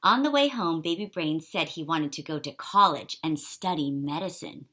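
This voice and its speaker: A woman reading a children's picture book aloud in an expressive, animated way for young listeners. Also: deep voice, moderate pace. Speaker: storybook reader.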